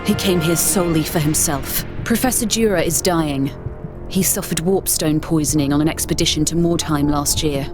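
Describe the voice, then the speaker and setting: A woman's voice: sits low, moderate pace, over music, close to an everyday voice. A woman voicing an anxious, earnest female expedition member in a video game cutscene, urgent in manner.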